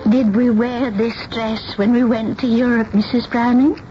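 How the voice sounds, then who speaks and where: An actress playing a timid, childlike, reclusive spinster seamstress, hesitant and stammering, in a 1970s radio drama. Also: female voice, a little high, fairly even intonation, moderate pace, music in the background, lightly acted. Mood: dreamy, wistful. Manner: hesitant, stammering, repeats words. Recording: noisy, dull old radio broadcast.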